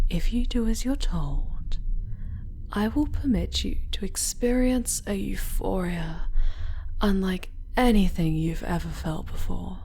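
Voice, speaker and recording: A cruel, seductive dark elf noblewoman, played by a woman in an ASMR roleplay, speaking extremely slowly in a breathy, teasing whisper. Her voice sits fairly low and stays imperious, close to the mic over some background noise. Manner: slow, deliberate, imperious and teasing. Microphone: close microphone.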